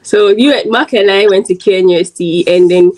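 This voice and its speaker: A woman's voice: medium pitch, moderate pace, darkish tone, low-quality recording. A woman from Ghana hosting a casual chat on her video channel, talking in a chatty way with many "like" fillers. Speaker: host and interviewer.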